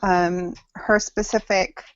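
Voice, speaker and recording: A woman in her thirties from Samoa and Hawaii, presenting to an online class in a halting way with frequent pauses, heard over a video call. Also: mid-range voice, level tone. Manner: halting, pausing often mid-sentence. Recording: dull lo-fi video call audio.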